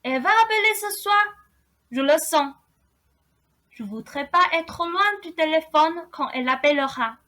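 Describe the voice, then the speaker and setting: Shy, anxious boy prince voiced by a woman, high pitch, slow hesitant delivery, radio drama. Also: expressive, sharp tone, full character voice. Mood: timid, hopeful. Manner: hesitant, timid. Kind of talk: radio play acting.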